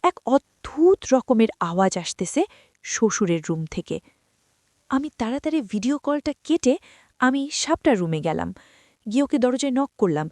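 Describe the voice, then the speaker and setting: A woman's voice: a little high, very animated, normal speed, darkish tone, dry recording, studio quality. A woman narrating a first-person story in a confiding tone, talking to her listeners as friends.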